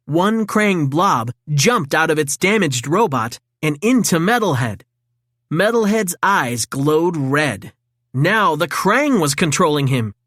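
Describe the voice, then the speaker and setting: A woman reading a children's story in an expressive, dramatic read-aloud style. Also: deep voice, slow pace, dry recording, clean studio sound. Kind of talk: scripted storybook narration for children.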